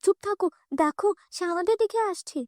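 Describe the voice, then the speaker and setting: A woman plays a cautious elder sister, a young girl in a fairy-tale cartoon. Her voice is high and girlish, anxious and protective, in a dry recording. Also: fairly quick, a little mellow, no room sound. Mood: anxious hushing.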